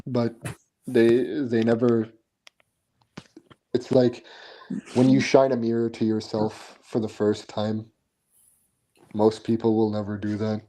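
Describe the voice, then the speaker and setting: A man's voice: medium pitch, monotone, slow pace, pauses often, mellow, low-quality recording. A man chatting casually on a live stream, blunt and opinionated, often restarting his sentences. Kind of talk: casual chat.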